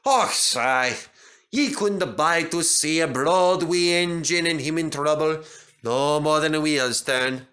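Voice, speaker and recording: Storybook steam engine, Scottish, male: earnest, excitable, high and bright played by a male reader. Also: takes it slowly. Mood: protesting. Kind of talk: children's storybook character voice.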